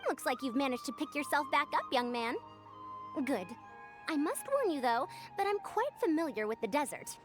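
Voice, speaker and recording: A woman's voice: sits high, expressive, normal speed. A woman voicing a self-assured senior female scholar with a youthful sound in a video game. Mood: confident. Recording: music behind.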